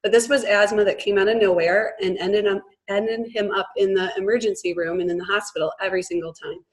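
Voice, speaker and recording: A woman in her thirties with a hoarse voice, having mostly lost it, earnestly sharing her personal story as a guest on a business call. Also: medium pitch, steady tone, a little fast, poor audio. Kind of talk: personal testimonial.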